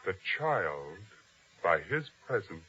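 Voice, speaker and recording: An actor playing a grave, resolute officer of a biblical king in a 1950s radio drama, speaking solemnly and deliberately. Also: deep voice, very animated, sharp tone, husky, full character voice. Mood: solemn, reflective. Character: repentant Roman-era officer of King Herod, grave and resolute. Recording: dull old radio broadcast recording.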